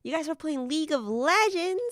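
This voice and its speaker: A woman streamer chatting casually with viewers while building models, slightly hoarse from being sick and laughing often. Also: high voice, animated, a little slow, dry recording, clean studio sound. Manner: giggly, chatty, greets viewers.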